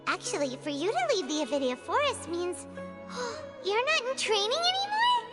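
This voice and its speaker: Tiny fairy sidekick, extremely high childlike voice, swooping intonation, blunt, excitable, video game acting, music behind. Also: female voice, normal speed. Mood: excited. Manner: exclamatory, refers to self in third person.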